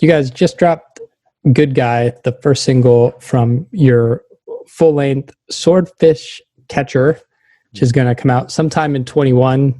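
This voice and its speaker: A man's voice: medium pitch, measured pace, dark tone, dry recording. A man hosting a podcast, interviewing guests in a casual, conversational way.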